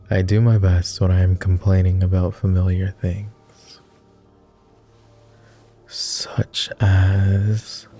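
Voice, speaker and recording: Guy playing a lonely gentle vampire boyfriend, really deep mellow voice, formal and halting, asmr roleplay. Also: gravelly, exaggerated voice. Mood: wry, subdued. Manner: formal, old-fashioned wording with halting, hushed delivery. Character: clingy lonely male vampire, courtly, gentle, easily flustered. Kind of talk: intimate ASMR roleplay acting.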